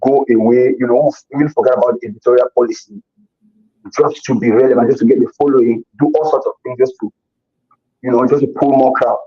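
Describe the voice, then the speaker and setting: A man's voice: medium pitch, fairly even intonation, quick pace, breathy edge. A man, answering interview questions in an earnest, casual way, often repeating words as he thinks. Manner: earnest, repeats himself while searching for words. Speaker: interview guest. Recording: dull lo-fi recording.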